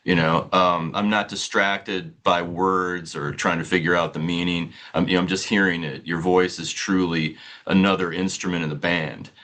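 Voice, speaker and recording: A man's voice: deep voice, fairly even intonation, normal speed, hardly any pauses, fairly bright tone. A man interviewing a band in an easygoing, enthusiastic way. He laughs often and is generous with praise. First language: English. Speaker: music interviewer. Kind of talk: casual interview.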